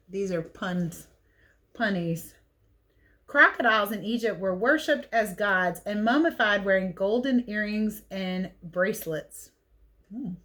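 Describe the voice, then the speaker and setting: Woman, children's read-aloud teacher. Expressive storybook delivery mixed with casual, chatty asides. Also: mid-range voice. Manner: expressive, chatty, explains as she goes. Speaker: teacher reading picture books aloud.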